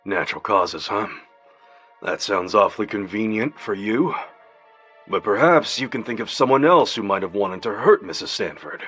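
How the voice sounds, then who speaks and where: A suspicious detective in a radio play, voiced by a man, low and husky in tone. He presses his questions in a dry, probing way, and faint music runs under the scene. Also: animated, moderate pace, fairly bright tone. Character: skeptical male police detective, probing. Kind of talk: radio drama acting.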